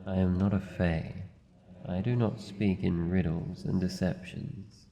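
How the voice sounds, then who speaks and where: An Australian man playing a wary, lonely living shadow monster in a fantasy ASMR roleplay, speaking in a formal, halting, guarded way. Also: deep voice, steady tone, vocal fry, mellow. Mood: guarded, softening. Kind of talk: fantasy ASMR roleplay, slow deliberate delivery. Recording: muffled, long cavernous reverb, faint noise.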